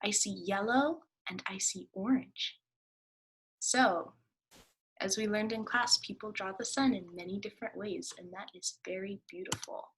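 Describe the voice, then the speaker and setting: A woman's voice: mid-range voice, unhurried, slight background noise, rough recording. A woman teacher reading aloud to children, explaining as she goes and prompting listeners with questions.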